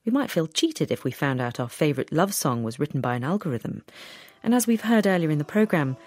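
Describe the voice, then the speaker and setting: A woman's voice: low voice, very animated, a little fast, darkish tone, no room sound. A woman presenting a radio documentary, narrating in a scripted, inquisitive way.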